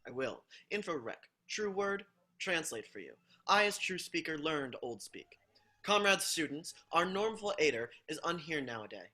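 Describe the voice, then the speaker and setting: A man reading a bright, dogmatic party zealot. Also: a little high, very animated, moderate pace, exaggerated voice. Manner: clipped invented jargon, stiff and dogmatic. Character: rigid patriotic teacher's assistant, stern zealot. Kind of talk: amateur play reading.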